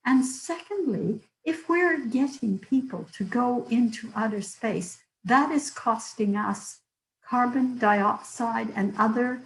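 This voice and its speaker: An older woman with a hoarse voice, giving an earnest public lecture with stories and the odd filler. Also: on the high side, takes it slowly, echoey room, low-quality recording. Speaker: guest lecturer.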